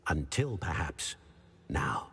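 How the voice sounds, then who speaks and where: An actor playing a grave, patient old wizard in a video game, with a husky voice and a measured, formal manner. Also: male voice, rumbling low, sing-song, fairly bright tone, heavily acted. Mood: solemn, instructive. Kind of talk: video game voice acting, formal solemn speech.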